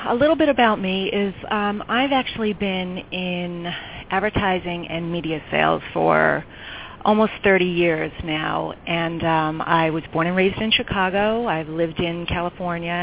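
A middle-aged woman from New York, telling her story chattily as a guest in a round-table interview, with frequent ums. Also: fairly low pitch. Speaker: guest entrepreneur. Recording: tinny phone line with faint noise.

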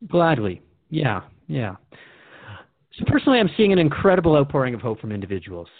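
A man's voice: medium pitch, big pitch swings, brisk, no echo, narrow, tinny audio. A man hosting a radio dialogue, speaking in an earnest, reflective and questioning way, like an interviewer in a scripted exchange. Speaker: radio host.

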